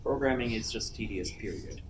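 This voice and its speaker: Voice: medium pitch, normal speed. A person chiming in casually on a panel with questions and remarks. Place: indoor convention panel room. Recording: roomy, distant recording with faint noise.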